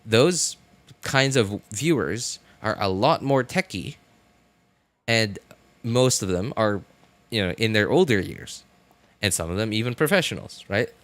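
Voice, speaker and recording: A man's voice: lowish voice, expressive, measured pace, a bit bright, no room sound. A man talking casually to live stream viewers while he plays, rambling and thinking aloud as he repeats himself. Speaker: live streamer, gaming content creator.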